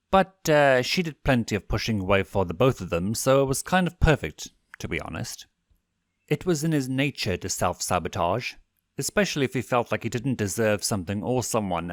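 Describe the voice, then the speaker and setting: A man reading fan fiction as an expressive, dramatic narrator with a sardonic edge. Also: mid-range voice, dry recording, studio quality.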